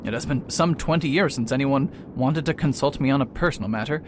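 A man's voice: fairly quick, natural voice. A man playing a proud, aloof old retired detective in a radio play, pompous and hesitant in manner. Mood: reluctant. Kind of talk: amateur radio play acting. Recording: faint rumbling background noise.

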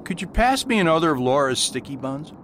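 A man playing a calm, courteous priest detective who speaks in a measured, polite and persistent way, in an amateur radio drama. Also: on the high side. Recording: noisy recording with steady hiss.

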